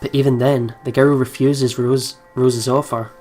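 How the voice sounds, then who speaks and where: A man's voice: mid-range voice, flat delivery, measured pace, darkish tone. A man hosting a let's play, reading game narration aloud and adding casual commentary. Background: game music.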